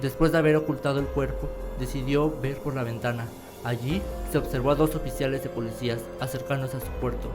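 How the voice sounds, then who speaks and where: A man narrating a scripted radio drama in a measured, dramatic storytelling manner. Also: mid-range voice, steady tone. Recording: clear music behind the voice.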